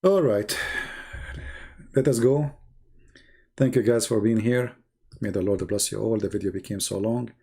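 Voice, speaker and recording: Gravelly sarcastic man. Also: on the low side, unhurried, long pauses, warm tone. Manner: rambling, sarcastic, fillers like "you know". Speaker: channel host. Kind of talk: joking livestream chat with viewers.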